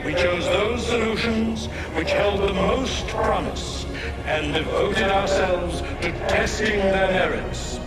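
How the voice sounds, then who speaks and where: A man playing an ancient godlike being in a video game, speaking gravely and formally in a solemn monologue. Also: high-pitched, slow. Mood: solemn, measured. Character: ancient godlike male being of a lost precursor race. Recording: roomy echo under loud music.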